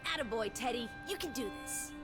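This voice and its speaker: A woman's voice: a little high, animated, brisk, music in the background, natural voice. An actress playing a playful, teasing young American woman from the 1920s in a video game, full of jaunty old-fashioned slang. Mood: mocking.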